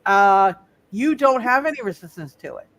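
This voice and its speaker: High-pitched halting male game master. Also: big pitch swings, a bit bright, poor audio. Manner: halting, repeats phrases as he goes. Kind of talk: casual live tabletop roleplay game.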